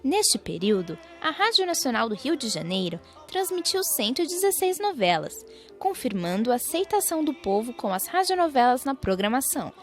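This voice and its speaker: Brazilian female narrator, higher register and expressive delivery, for scripted radio documentary. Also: moderate pace. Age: young adult. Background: music.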